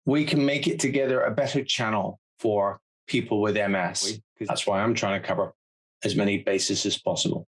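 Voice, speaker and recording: A man's voice: fairly low pitch, steady tone, normal speed, low-quality recording. A man hosting an online interview. He talks in a friendly, chatty way and often drifts into loose, rambling asides between questions. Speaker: interview host. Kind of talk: channel host interviewing a guest.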